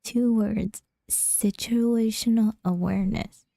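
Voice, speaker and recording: Mellow, creaky-voiced woman streamer. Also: a little high, steady tone, slow pace, no echo. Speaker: game streamer.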